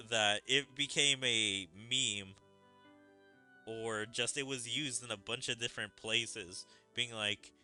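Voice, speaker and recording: A man's voice: medium pitch, bright, forceful tone. A man giving casual, rambling, chatty commentary on a game's story. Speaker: gaming streamer.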